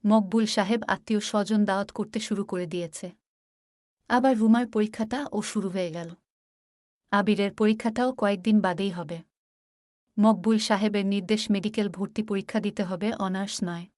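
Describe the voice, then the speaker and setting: A woman's voice: medium pitch, fairly even intonation, normal speed, a little mellow, dry recording, clean studio sound. A woman reading scripted narration for a cartoon story.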